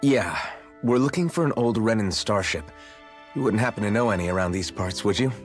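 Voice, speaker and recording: An actor playing an easygoing, understated young adventurer in a video game cutscene. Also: male voice, lowish voice, expressive, fairly quick, soft background music, close to an everyday voice. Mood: casual.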